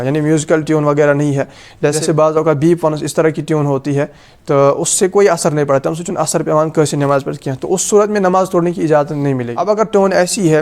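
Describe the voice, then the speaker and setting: A man's voice: a little high, a little mellow. A man with a Kashmiri accent giving a practical religious lesson, explaining step by step in an instructive way and repeating his points. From Kashmir, South Asia. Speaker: Islamic teacher and presenter.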